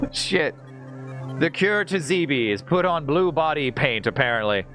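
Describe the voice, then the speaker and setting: Animated, higher-pitched male game streamer. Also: measured pace. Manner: rambling, jokey, swearing, reacting aloud to gameplay. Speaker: live streamer and host. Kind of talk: casual game stream commentary, chatting with viewers. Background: video game music.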